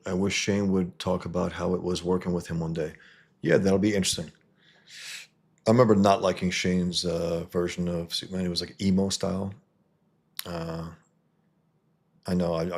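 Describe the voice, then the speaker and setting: Low, husky man chatting. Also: level tone. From New York, USA. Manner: halting, restarts and repeats words. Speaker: livestream host and comic artist. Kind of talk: casual livestream chat reading viewer comments.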